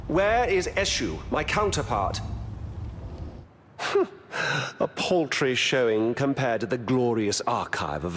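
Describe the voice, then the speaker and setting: A man's voice: mid-range voice, background noise. An actor playing a vain, haughty trickster god-king in a video game, pompous, grandiose and sneering. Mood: smug. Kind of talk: theatrical video game voice lines.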